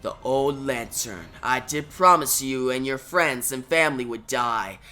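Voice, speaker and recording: A man's voice: medium pitch, unhurried, sharp tone, pitched below the performer's own voice. A man voicing a cruel, ancient fire giant who speaks in grandiose threats. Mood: gloating. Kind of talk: amateur comic book reading.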